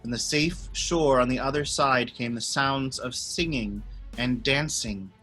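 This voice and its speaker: A priest telling a Bible story to children in an expressive, friendly way, addressing them as friends. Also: male voice, medium pitch, unhurried, soft background music.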